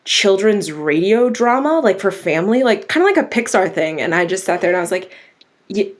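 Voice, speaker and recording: A woman's voice: medium pitch, expressive, brisk. A young woman from Virginia, a guest writer in a casual interview, telling her story with lots of acted quotes. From Virginia, USA. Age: young adult.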